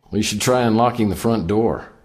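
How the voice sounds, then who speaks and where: Deep-voiced deadpan noir private eye played by a man. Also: fairly quick, close to an everyday voice. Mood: cool wisecracking. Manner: deadpan, sardonic. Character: wisecracking world-weary male private eye narrating his case. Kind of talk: noir detective radio drama narration. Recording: echoey long reverb, music behind.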